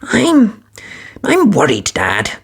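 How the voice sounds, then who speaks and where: A man voicing an anxious little penguin boy in a children's storybook, pleading and breathy, often half-whispered. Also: huge pitch swings, drawn-out words, heavily acted. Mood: worried. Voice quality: high, breathy and worried.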